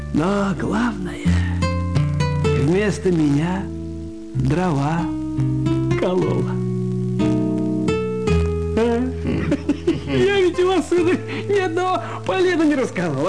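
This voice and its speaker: Radio play: humble reformed drunkard, high-pitched, deferential, emotional, lively swings, loud music underneath. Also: male voice, full character voice. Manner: deferential, old-fashioned servile politeness, emotional storytelling. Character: meek, grateful former drunkard beggar turned humble clerk. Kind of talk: theatrical radio play acting of classic literature.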